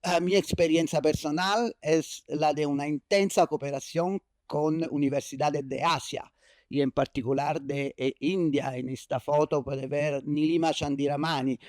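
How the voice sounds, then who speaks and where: A man's voice: on the high side, fairly even intonation, moderate pace, dry recording, clean studio sound. A man from Italy with an Italian accent, giving a conference lecture in a non-native language, hesitant with many fillers and restarts. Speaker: keynote speaker. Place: university lecture hall.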